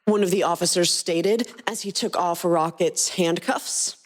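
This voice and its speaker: A woman hosting a fan fiction podcast, speaking in a light, expressive storytelling style. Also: on the low side, measured pace, slight background noise.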